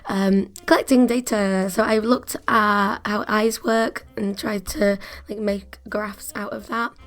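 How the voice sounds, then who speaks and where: A woman in her twenties with a croaky voice, chatting casually as an art student vlogger, often laughing at herself. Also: medium pitch, level tone, slow pace. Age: 20s. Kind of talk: casual vlog narration.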